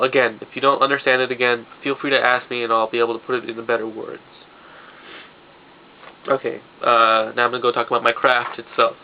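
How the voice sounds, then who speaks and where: Young guy with a blocked nose rambling to his camera, kinda muffled lo-fi audio with a bit of hiss. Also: medium pitch. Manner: rambling, restarts often, loose explanations. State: stuffed-up nose. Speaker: vlogger. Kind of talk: casual vlog monologue. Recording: dull lo-fi home video with faint hiss.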